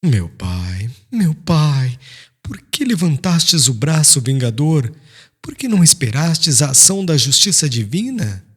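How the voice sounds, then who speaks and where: Casting: male reader as devout young man, tender and pleading, higher voice with wide swoops, audiobook drama. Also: very animated, unhurried, breathy edge, exaggerated voice. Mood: pleading reproach. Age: young adult. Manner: gentle, earnest, formal pleading.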